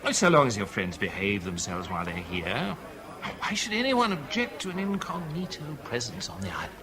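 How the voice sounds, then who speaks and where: A man's voice: lowish voice, sing-song, brisk. An actor playing a worldly, urbane man genially in command in a 1970s British radio drama, suave and teasing. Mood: knowing, suave. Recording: busy club crowd behind.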